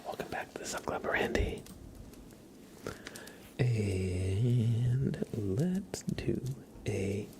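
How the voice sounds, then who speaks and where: Male ASMR host, close-miked whisper with gravelly fry, unhurried casual chat to viewers. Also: lowish voice, very noisy. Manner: soft, drawn-out, repeats words slowly.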